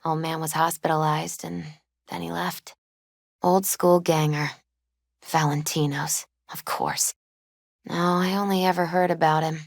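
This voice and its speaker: A gentle young woman in her twenties, speaking as a grieving video game character. Her voice sits fairly low and comes through dry, with no room around it. She is subdued and wistful, hesitating as she talks in a natural, unforced way. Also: flat delivery, measured pace, creaky, no echo. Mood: somber, reflective. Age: 20s.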